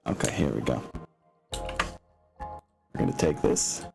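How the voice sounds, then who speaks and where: Low-voiced man running a tabletop game. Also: a little slow, vocal fry. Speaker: game master. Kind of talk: casual tabletop game talk. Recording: music behind the voice. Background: background music.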